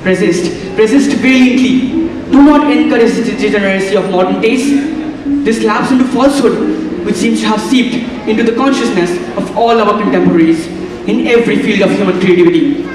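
A man's voice: high-pitched, fairly quick, darkish tone, slight background noise, natural voice. A young man playing an earnest, courteous male poet on a school stage, speaking in a formal, theatrical way. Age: young adult. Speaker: student actor. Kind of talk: stage acting in a school play. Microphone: far stage mic. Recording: echoey hall from far off, music behind.

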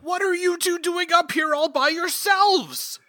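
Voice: very high voice, measured pace, bright, forceful tone, no room sound, clean studio sound, full character voice. An actor playing a stern, scandalized sitcom dad who scolds melodramatically in a comic radio play.

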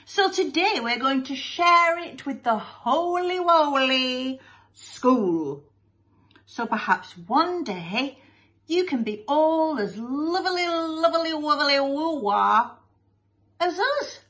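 A woman's voice: high-pitched, animated, slow pace, a bit bright, voice pushed up, exaggerated voice. A woman voicing a smug, soppy little storybook schoolboy who talks in whiny, sugary baby talk. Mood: smug, gushing.

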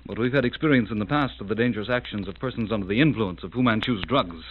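An actor playing a tired, earnest young gentleman in a 1930s radio drama, tense and determined, on a tinny, scratchy recording. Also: on the low side, fast talker, close to an everyday voice.

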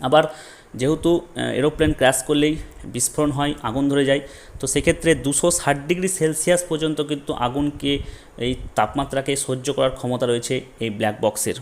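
A man's voice: mid-range voice, fairly even intonation, brisk. A man presenting an explanatory lesson, emphatic and repetitive, mixing in English technical terms. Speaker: educational presenter. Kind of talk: explanatory lesson for online viewers.

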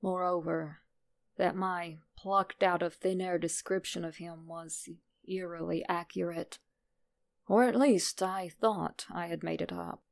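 A woman narrating a horror story in a measured, deliberate, dramatic way. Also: fairly low pitch, steady tone, vocal fry.

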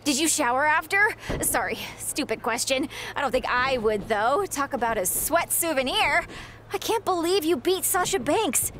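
A woman voicing an excitable young female wrestling fan who talks in a bubbly, giggly way. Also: high-pitched, moderate pace, a little hiss or hum. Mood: gushing.

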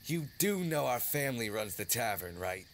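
An actor playing a gruff, protective young villager with a blunt, brusque way of talking, in an audio drama. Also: male voice, medium pitch, big pitch swings, normal speed, a little hiss or hum. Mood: gruff, casual.

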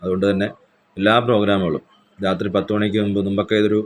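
A man speaking as a police station officer, making a formal announcement in a measured, official manner with hesitant fillers. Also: lowish voice, level tone, a little mellow, slight background noise.

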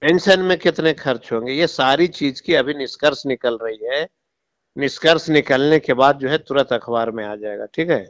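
A man's voice: medium pitch, normal speed. A man, a government official on a phone call, lecturing in an impatient, condescending way. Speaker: government minister taking a call. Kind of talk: phone conversation. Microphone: telephone call recording.

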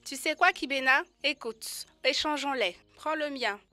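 A playful baby elephant in a children's cartoon. The voice is high, bright and eager, friendly, with music underneath. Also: female voice, unhurried, dry recording.

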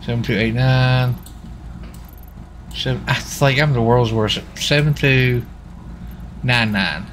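A man with a mid-pitched voice chats casually in an online roleplay as a lawman. He rambles excitably and circles back on his words, with some vehicle noise behind him. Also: slow pace, long pauses. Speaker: streamer roleplaying as a US Marshal. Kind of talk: casual in-game roleplay chat. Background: game car and city sounds.

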